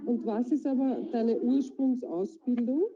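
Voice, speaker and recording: A woman's voice: on the high side, measured pace, mellow. A woman interviewer asking short, direct questions over a video call. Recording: dull lo-fi online call audio.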